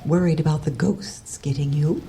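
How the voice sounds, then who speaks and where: A woman's voice: deep voice, normal speed, gravelly, mellow. A woman playing a prickly, condescending, no-nonsense researcher in an audio drama, with a haughty, dismissive manner. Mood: testy, dismissive.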